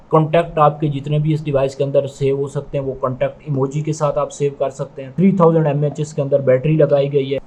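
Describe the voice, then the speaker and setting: A man's voice: fairly high pitch, darkish tone, rough recording. A man giving product review commentary, explaining methodically and repetitively, step by step.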